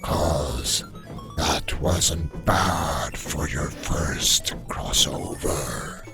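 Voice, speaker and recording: A man's voice: extremely low pitch, very slow, bright, forceful tone, faint music behind, heavily acted. A man voicing a giant alien robot with a theatrical, grandiose manner in an amateur comic dub. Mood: slow, consoling.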